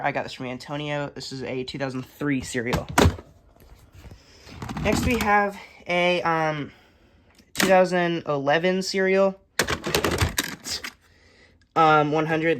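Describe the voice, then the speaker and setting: A young man giving a casual, unscripted show-and-tell, halting and matter-of-fact as he lists items. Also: a little high, steady tone. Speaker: hobbyist collector giving a tour. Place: indoors in a room, handling plastic bins. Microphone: handheld phone camera. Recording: noisy handheld recording with clattering.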